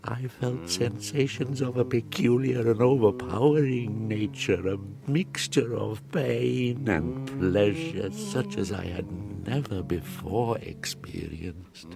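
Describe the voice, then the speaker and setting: An actor playing a lonely, eloquent man-made monster in an audiobook drama, speaking formally and haltingly with a gravelly voice. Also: on the low side, over music. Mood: wistful. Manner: slow, halting, formal old-fashioned speech. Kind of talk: dramatised audiobook monologue and dialogue.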